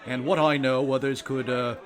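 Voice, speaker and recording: A man's voice: mid-range voice, steady tone, moderate pace, some chatter behind, natural voice. An actor playing a pompous, self-serving government secretary who peppers his smug talk with hesitations, in an audio comedy drama. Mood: veiled threat.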